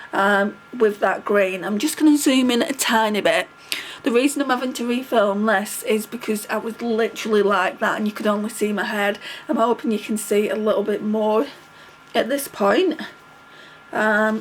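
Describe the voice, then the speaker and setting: A woman's voice: a little high, slight background noise, poor audio. A middle-aged woman vlogging casually while doing her makeup, chatty and rambling, with a stuffy nose from a cold. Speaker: beauty vlogger.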